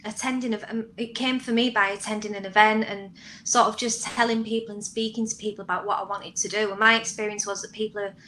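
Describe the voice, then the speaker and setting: A woman's voice: mid-range voice, flat delivery, quick pace, a bit bright, a little hiss or hum, low-quality recording. A woman who is a scriptwriter guest on a panel, speaking hesitantly and correcting herself as she goes. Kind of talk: panel discussion on a video call.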